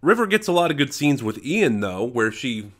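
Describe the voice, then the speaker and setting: A man with a stuffy, congested head-cold voice talks through an unscripted review. His medium pitch swings up and down in a lively way. He is casual and opinionated, pausing and rewording as he thinks.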